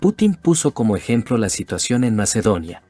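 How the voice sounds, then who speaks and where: A man reading a scripted documentary narration in a measured way. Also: fairly low pitch, big pitch swings, dark tone, soft background music.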